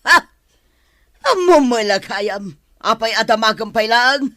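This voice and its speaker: A woman plays a scolding older wife in an audio drama. Her voice is bright and cutting, sharp-tongued, recorded dry and close. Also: a little high, expressive, unhurried, no room sound. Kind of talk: Ilocano radio drama acting.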